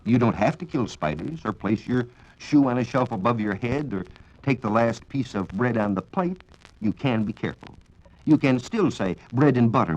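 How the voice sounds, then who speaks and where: A man with an American accent giving a formal, measured, scripted 1940s radio science talk on a dull vintage recording. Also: on the low side, a little mellow. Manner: formal, measured lecturing with rhetorical questions. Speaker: science reporter and radio speaker. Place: radio studio.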